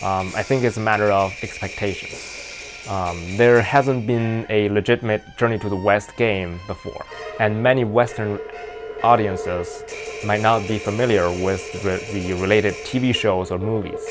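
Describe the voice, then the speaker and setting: A man's voice: lowish voice, fairly even intonation, moderate pace. A man who is a native Mandarin speaker with a Chinese accent, giving modest, informal explanatory commentary for a game video. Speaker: video creator, narrator. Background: music.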